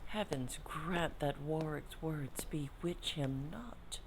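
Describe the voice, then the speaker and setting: A woman's voice: low-pitched, takes it slowly, background noise. An actress playing a proud, grieving exiled queen in a Shakespearean drama, speaking in a formal, declamatory way. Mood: anxious. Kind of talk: Shakespearean stage-style audio drama acting.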